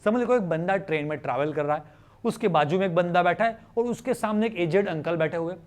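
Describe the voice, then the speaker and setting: A man's voice: sits high, a little fast. A man with an Indian accent teaches students informally, conversationally explaining with questions and repeated phrases and mixing in English. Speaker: chemistry teacher.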